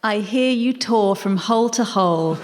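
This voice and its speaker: A woman's voice: mid-range voice, moderate pace. A woman performing comic lines as a panellist on a radio comedy game. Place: theatre stage before an audience. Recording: very noisy, echoey reverberant hall.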